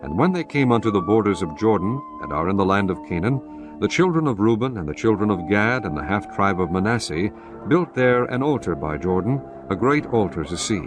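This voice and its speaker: Deep-ish solemn male narrator. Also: sing-song. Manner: measured, solemn. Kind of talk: scripted scripture narration. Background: music underneath.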